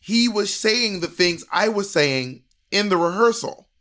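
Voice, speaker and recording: Higher-pitched, very animated American man. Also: unhurried. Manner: emphatic, profane. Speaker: podcast co-host. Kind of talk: casual storytelling and review chat. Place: indoor room at home.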